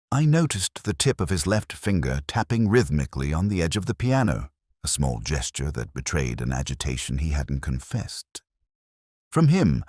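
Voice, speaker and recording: Man acting as brooding male detective, extremely low voice, lively pitch movement, measured literary mystery narration, reflective. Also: slightly rough, dry recording, clean studio sound, full character voice. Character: brooding, observant male police detective narrating his case. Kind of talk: scripted first-person mystery narration.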